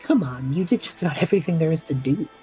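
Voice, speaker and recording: A woman's voice: low voice, lively intonation, fast, loud music behind the voice. A woman playing a brash, sarcastic young wanderer in an audio drama, talking casually. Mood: friendly, playful. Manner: casual, flippant. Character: brash, sarcastic young wanderer named Trevor, warm toward kids.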